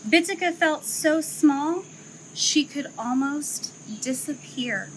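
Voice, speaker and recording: Woman, young adult, zoo educator, storybook read-aloud, slow and expressive, outdoor zoo setting. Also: high-pitched, noisy background. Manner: slow, expressive storytelling, livelier chat afterward. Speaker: zoo educator reading a picture book. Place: outdoor zoo enclosure with trees.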